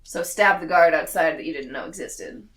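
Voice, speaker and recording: Woman, bright and forceful, tabletop player, casual banter, echoey distant pickup. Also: sits low, moderate pace. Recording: roomy, distant mic.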